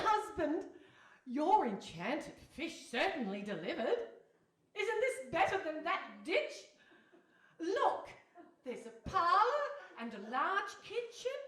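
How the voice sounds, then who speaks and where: A woman's voice: very high-pitched, fairly bright tone, heavily acted. A woman playing a bossy, never-satisfied wife in a live radio play, emphatic and insistent. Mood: gushing delight. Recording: echoey hall, distant microphone.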